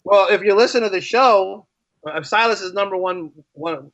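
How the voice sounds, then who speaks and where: A man's voice: high-pitched, moderate pace, fairly bright tone, dry recording, muffled recording. A talkative man speaking casually and enthusiastically as a guest in an interview. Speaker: podcast guest, writer and podcaster.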